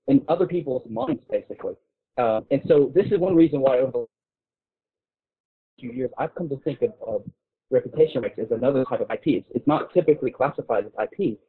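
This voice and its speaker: A man's voice: mid-range voice, breathy edge. A man arguing points informally in an intellectual discussion as a guest, often repeating words as he builds his case. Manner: halting, repeats words while building arguments. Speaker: guest speaker on a discussion show. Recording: muffled narrow phone-line sound.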